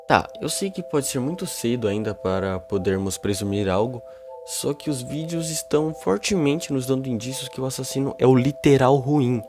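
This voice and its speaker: A man with a Brazilian accent narrating and explaining a horror video in an informal way. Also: lowish voice. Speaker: YouTube narrator. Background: music.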